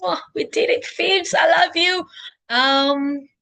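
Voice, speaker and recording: A woman's voice: extremely high pitch, takes it slowly, pushed and bright. Kenyan-accented woman, chatty video diarist. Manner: chatty, thinking aloud, self-encouraging. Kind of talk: casual unscripted self-reflective video diary. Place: indoors at home, by a couch. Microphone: laptop.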